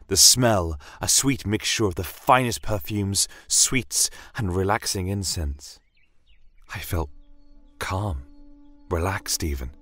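A man playing a solemn church inquisitor, narrating a fantasy tale in a measured, brooding manner with deliberate pauses. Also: sits low. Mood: reverent, wondering. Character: solemn male church inquisitor recounting a wondrous, troubling journey. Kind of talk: dramatic first-person fantasy audio narration. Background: soft fantasy ambience music.

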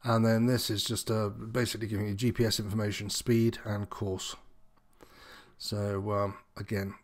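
A man's voice: lowish voice, little pitch movement, moderate pace. A man giving an informal, unscripted walkthrough as an instructor, explaining things in a slightly hesitant, meandering way. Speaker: sailing instructor presenting tutorial.

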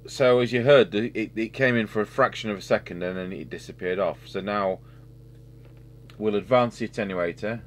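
A man's voice: on the low side, moderate pace. A man giving an unscripted hands-on repair commentary as a technician, thinking aloud while he works. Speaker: radio repair technician and host. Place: indoor electronics workbench. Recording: noisy workbench recording with hum and radio hiss.

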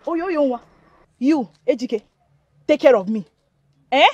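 High-pitched, scornful Nigerian village woman. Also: animated, takes it slowly, dull audio, background noise. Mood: scornful, exasperated. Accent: Nigerian Igbo. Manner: scolding, dismissive, repeats herself. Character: scornful, money-minded young village woman. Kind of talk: Nollywood-style film acting.

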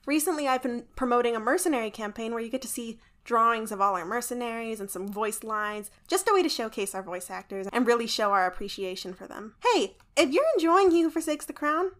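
A woman's voice: on the high side, lively intonation, brisk, fairly bright tone. A woman announcing for a podcast in a chatty, promotional style, playful and informal.

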